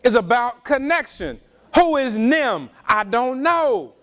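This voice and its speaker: High-voiced man lecturing emphatically. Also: sing-song, fairly bright tone. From Louisiana, USA. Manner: slow, emphatic, preacher-like delivery. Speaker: speaker at a community event. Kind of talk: lecture with call and response.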